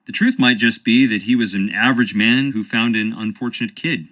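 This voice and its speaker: A man's voice: fairly low pitch, a little fast, a bit bright, natural voice. An actor playing a charming, evasive young stage actor with an American accent, wry and theatrical as he dodges questions. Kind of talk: video game voice acting.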